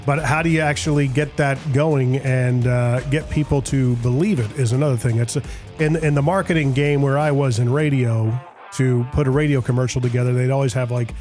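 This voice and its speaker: A man's voice: mid-range voice, steady tone, fairly quick, a little mellow, soft background music. A man hosting a business podcast, talking casually and rambling with lots of restarts. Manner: chatty, rambling with many restarts. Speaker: podcast host. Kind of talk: casual business interview chat.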